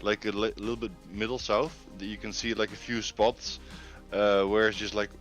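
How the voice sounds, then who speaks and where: A man chatting casually and explaining things in a hesitant, halting way. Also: fairly low pitch, flat delivery, fairly bright tone. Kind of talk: casual chat. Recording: music behind the voice. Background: music.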